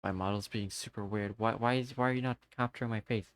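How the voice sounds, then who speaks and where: A man's voice: fairly low pitch, steady tone, fast, no echo. A man streaming a game live, chatting casually with viewers and talking to himself as he plays. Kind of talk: casual live-stream chat while gaming.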